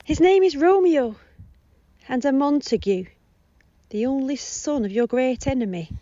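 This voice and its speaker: A woman as old household nurse, devoted and bustling, lively swooping intonation, soft-toned, muffled dry phone recording, Shakespeare radio play. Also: high voice, a little slow, dry recording. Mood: grave. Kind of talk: amateur Shakespeare audio drama. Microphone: phone, recorded at home.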